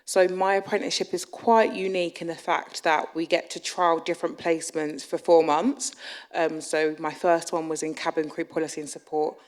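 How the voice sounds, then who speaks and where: Low, flat-voiced young woman. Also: moderate pace. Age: young adult. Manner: chatty, with frequent ums. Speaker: apprentice. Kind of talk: short talk to an audience.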